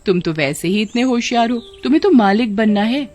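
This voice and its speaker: A woman's voice: a little high, expressive, fairly quick, a little mellow, pitched above the performer's own voice, natural voice. A woman voicing a scheming stepmother who puts on doting, coaxing sweetness in a cartoon story. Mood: sugary, coaxing. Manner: coaxing, sweet-talking, falsely wounded. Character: scheming stepmother putting on sugary, doting affection.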